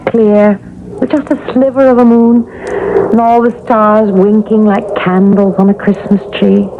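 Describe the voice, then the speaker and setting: A wronged female ghost speaks with theatrical reproach as an actress plays her in a vintage radio play. She is goading and accusatory, at a medium pitch for a woman. The broadcast sound is dulled, with some noise behind. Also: level tone, measured pace, close to an everyday voice. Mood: dreamy. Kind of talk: 1970s radio drama acting. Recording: muffled, noisy old radio broadcast.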